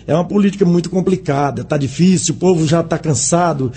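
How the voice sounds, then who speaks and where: Folksy man, dark husky voice. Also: a little high, fast, faint music behind. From Goiás, Brazil. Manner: folksy, keeps addressing the host by name. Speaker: singer, guest. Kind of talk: radio interview.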